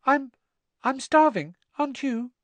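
Really high flustered schoolboy, gabbling and scared, storybook voice. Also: male voice, expressive, measured pace, a bit bright, no room sound, full character voice. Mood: panicky false cheer. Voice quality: unnaturally high-pitched. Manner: nervous gabbling. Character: frightened, flustered teenage schoolboy.

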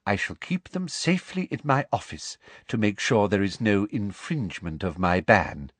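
A man's voice: fairly low pitch, lively intonation, moderate pace, no echo. A man voicing a smug, vindictive older female school official in an audiobook, prim, precise and self-satisfied. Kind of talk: audiobook character voice.

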